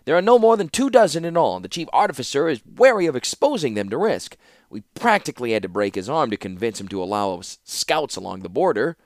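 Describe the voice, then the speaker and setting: A man voicing a fretful male clockmaker adviser in a raised, loud voice. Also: mid-range voice, sing-song, fast, no room sound, clean studio sound, voice pushed up, close to an everyday voice.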